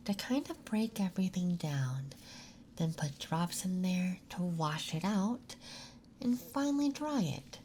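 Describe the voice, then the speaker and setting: A gentle, reassuring ASMR performer playing a nurse, speaking softly and breathily through a soothing medical exam roleplay. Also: medium pitch, slight background noise. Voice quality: soft, breathy whispering.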